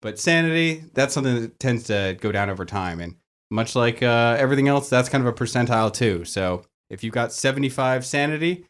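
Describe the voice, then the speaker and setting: A man's voice: medium pitch, expressive, fairly quick, a bit bright. A man speaking as a game master guest, explaining rules in a casual group chat. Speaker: tabletop and LARP game master, panel guest.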